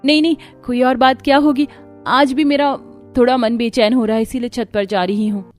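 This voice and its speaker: A woman's voice: fairly high pitch, steady tone, moderate pace, pitched above the performer's own voice, close to an everyday voice. A woman voicing a timid, secretive young daughter-in-law in a cartoon story, hesitant when questioned, with music behind. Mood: flustered, evasive.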